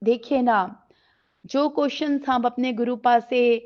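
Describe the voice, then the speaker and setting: A middle-aged woman with a sore throat, sharing a devotional gratitude talk at home before a shrine, in a gentle, halting way with many pauses. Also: on the high side, fairly even intonation. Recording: slightly dull lo-fi recording.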